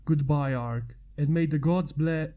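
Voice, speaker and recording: A woman's voice: low-pitched, a little slow, muffled recording, voice pushed down. A woman voicing a loyal, resolute male friend of a prince in a fantasy story, firm and urgent. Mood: resigned.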